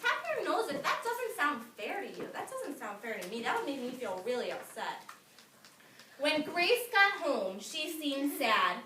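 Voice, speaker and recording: A woman teacher reading a picture book aloud to young children, expressive and emphatic, pausing to instruct the class. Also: sits high. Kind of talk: animated classroom read-aloud. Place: echoey indoor classroom. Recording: echoey room, distant mic, noisy, clicks.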